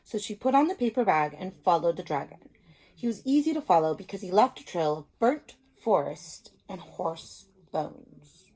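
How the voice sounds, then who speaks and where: A woman's voice: fairly low pitch, very animated, moderate pace, darkish tone, poor audio. A woman speaking as a reading teacher, explaining simply and reading a story aloud to children, stressing key words.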